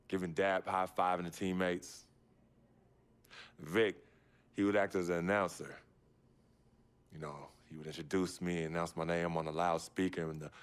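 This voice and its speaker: A young deep-voiced basketball star. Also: male voice, clear, resonant tone. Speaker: basketball player. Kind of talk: video game cutscene narration.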